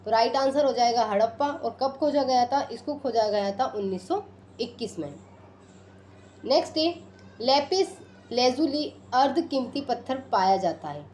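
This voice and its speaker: Female exam tutor, slightly high register, methodical question-and-answer delivery, faint background noise. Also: darkish tone. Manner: instructional, reads out items one by one. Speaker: teacher, exam quiz presenter. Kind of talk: question-and-answer exam lesson, reading options then giving answers.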